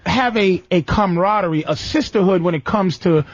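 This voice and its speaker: A man's voice: high voice, brisk, no room sound. An American man hosting a talk show, giving blunt, profane, domineering advice in an unscripted comedic rant, often cutting in and repeating himself. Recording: slightly dull, lo-fi radio broadcast audio.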